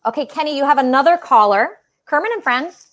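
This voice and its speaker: A woman's voice: high-pitched, lively intonation, fairly quick, a bit bright. A woman hosting a casual livestream talk show, chatting with callers in a gushing, emphatic way.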